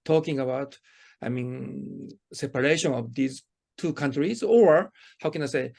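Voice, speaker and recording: A male interviewer with a Japanese accent and a gravelly creak in his medium-pitched voice. He asks questions conversationally, hesitating and circling around his phrasing before he lands on the point. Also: unhurried, darkish tone.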